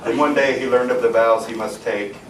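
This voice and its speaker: A man's voice: mid-range voice, fairly even intonation, a little fast. A man reading humorous rhyming verse aloud to a family gathering. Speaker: family member reading a tribute poem. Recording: echoey, distant, loud crowd noise.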